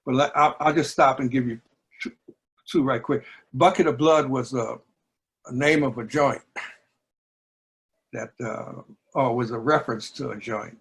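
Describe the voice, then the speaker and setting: A man, speaking in a measured, deliberate way with pauses, discussing and reading poetry. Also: mid-range voice, a bit bright, slightly rough. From New Orleans, United States. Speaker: book editor and poetry reader.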